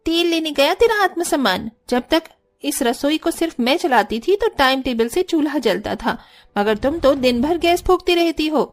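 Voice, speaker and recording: A woman's voice: sits high, lively intonation, moderate pace, voice pushed up. A woman voicing a haughty, quarrelsome sister-in-law in a cartoon story, with a taunting, scornful manner. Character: haughty, spiteful elder sister-in-law, housewife. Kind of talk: exaggerated Hindi cartoon story acting.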